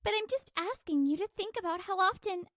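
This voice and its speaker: Manga dub role: exasperated wife played by a woman, high, lively voice, dry close sound, expressive and aggrieved. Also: brisk, no echo. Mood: exasperated, reproachful. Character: exasperated working wife, narrating her marital troubles. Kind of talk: scripted manga dub narration and dialogue.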